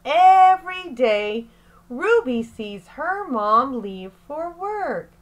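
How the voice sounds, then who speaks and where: A woman teacher reading aloud to young children in a deliberate, phrase-by-phrase way, as in an expressive picture-book lesson. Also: high voice.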